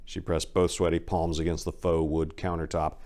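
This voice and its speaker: Male narrator, low voice, gritty crime-fiction reading, theatrical and sardonic. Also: flat delivery, normal speed, darkish tone. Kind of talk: dramatic reading of a crime story.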